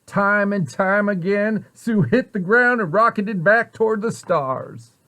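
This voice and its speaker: A man reads a storybook aloud for children. His voice sits a bit high for a man, and he shapes the sentences expressively and deliberately, like a practiced storyteller. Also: pushed and bright. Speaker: site director reading a picture book to children.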